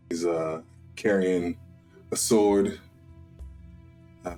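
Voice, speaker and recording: A man playing a tabletop roleplay game, describing his character's story in short, measured, solemn phrases with long pauses. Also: low voice, darkish tone. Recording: music under the voice. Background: background music.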